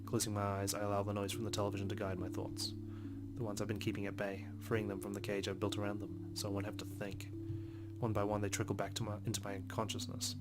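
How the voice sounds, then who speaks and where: Low, even-toned man reading over music. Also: fast. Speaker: let's play host. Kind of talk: reading visual novel narration aloud. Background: prominent game music with plucked guitar.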